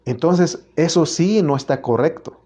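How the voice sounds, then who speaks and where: A man's voice: on the high side, unhurried, mellow. A man with a Mexican Spanish accent preaching a sermon as pastor, earnest and repetitive, often restarting his words.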